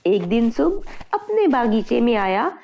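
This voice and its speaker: A woman's voice: a little high, big pitch swings, unhurried, creaky, darkish tone, lo-fi sound. A woman narrating a scripted fairy tale for children. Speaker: storyteller.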